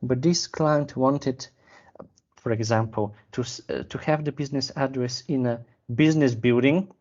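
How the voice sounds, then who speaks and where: A man, a sales manager explaining a client case in a measured, careful way with pauses to find words. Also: mid-range voice, a little mellow, highs cut off. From Bulgaria. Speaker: sales and business development manager. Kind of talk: business interview.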